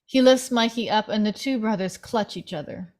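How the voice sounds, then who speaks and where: A woman's voice: medium pitch, moderate pace, darkish tone. A woman reads film stage directions aloud at a table read. Her voice is mid-range, delivered as scripted narration.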